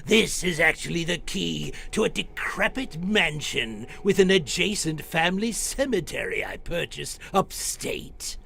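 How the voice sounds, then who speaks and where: A man's voice: fairly high pitch, lively intonation, creaky, pushed and bright, a little hiss or hum, heavily acted. A man playing an eccentric, spooky horror radio host with a theatrical, macabre manner. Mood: playfully macabre. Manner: macabre, cackling, drawn-out delivery.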